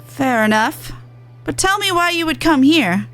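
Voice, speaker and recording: A woman's voice: high-pitched, lively intonation, unhurried, fairly bright tone, faint music behind. A woman voicing a blunt but tender-hearted young catfolk fighter from a fantasy game, frank and colloquial. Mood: frank, forgiving. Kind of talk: video game dialogue read aloud.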